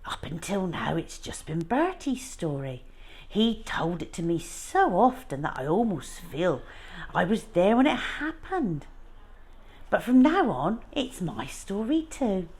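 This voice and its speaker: A wistful old lady, as a woman reader plays her, looks back on a lonely childhood. Her voice is low and slow, reflective and measured, in storybook style. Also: big pitch swings, a little mellow, slightly rough, full character voice. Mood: wistful reminiscing.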